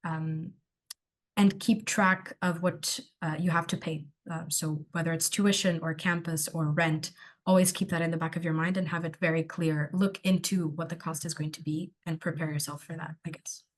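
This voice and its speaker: A woman's voice: sits low, moderate pace. Student woman, native Portuguese speaker. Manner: relaxed, offers personal opinions. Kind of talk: answering questions in a casual way. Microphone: video call.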